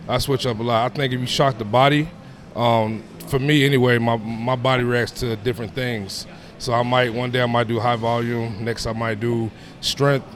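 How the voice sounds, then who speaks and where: A man in his thirties talking casually as a personal trainer being interviewed, informal, often dropping in "man" and "you know". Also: mid-range voice. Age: 30s. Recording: clear steady background noise.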